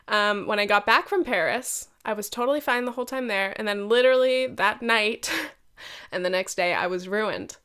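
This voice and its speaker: A woman's voice: medium pitch, normal speed, bright, forceful tone, clean tone. A young woman in her twenties, nasal and congested from a head cold, hosting a casual solo podcast with chatty, swearing, self-deprecating asides. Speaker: podcast host.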